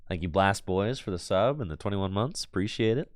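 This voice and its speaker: A man's voice: on the low side, animated, a little fast, dry recording, clean studio sound. A man streaming a card game, losing his voice to allergies, muttering his plays aloud and chatting with viewers. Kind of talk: casual live-stream game commentary.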